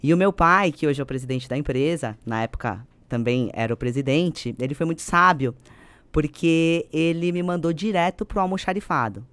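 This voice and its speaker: Casting: Brazilian female executive as podcast guest, smooth clear voice, dry close sound, conversational storyteller. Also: low-pitched, expressive, moderate pace, fairly bright tone, dry recording.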